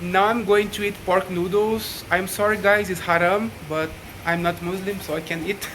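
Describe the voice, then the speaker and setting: A man's voice: high-pitched, steady tone, moderate pace, a bit bright, slight background noise, low-quality recording. A Brazilian man with a Brazilian Portuguese accent, chatting casually to his viewers on a walking travel vlog. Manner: chatty, addresses viewers directly. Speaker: travel vlogger. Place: outdoors in a city street. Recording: outdoor vlog with music behind. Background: music.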